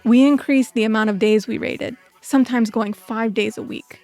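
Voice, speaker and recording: A woman narrates a scripted personal story for a video essay. Her voice sits a little high, and faint music plays behind her. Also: steady tone, normal speed, darkish tone.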